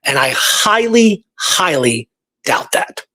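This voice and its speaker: Higher-voiced man, casual storyteller. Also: sing-song, slow pace, bright, forceful tone, slight background noise. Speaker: podcast host presenting historical research.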